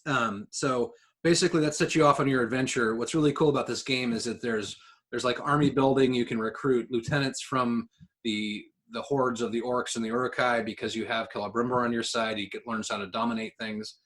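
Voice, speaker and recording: A man co-hosting a podcast, talking in an enthusiastic, informal way as he pitches his ideas. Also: fairly even intonation, fairly quick, a bit bright. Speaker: podcast co-host. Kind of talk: casual podcast chat.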